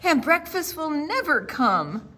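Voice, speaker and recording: A woman's voice: high voice, sing-song, slow pace, sharp tone, pitched above the performer's own voice, full character voice. A woman voicing a small storybook miniature horse, timid but hopeful, with earnest, eager emphasis. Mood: worried, brave.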